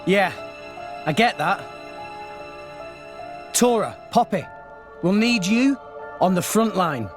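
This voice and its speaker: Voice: medium pitch, lively intonation, slow pace, long pauses, soft background music. An actor playing an earnest, plucky teenage boy hero in a fantasy video game. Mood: earnest, concerned.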